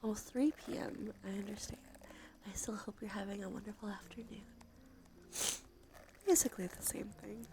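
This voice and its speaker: A woman streaming soft ASMR chat, often slipping into a whisper, sniffly with allergies and a sore throat, casual and affectionate with some swearing. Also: medium pitch, steady tone, normal speed, pauses often, background noise. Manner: breathy, frequent drops into whisper. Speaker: livestream host.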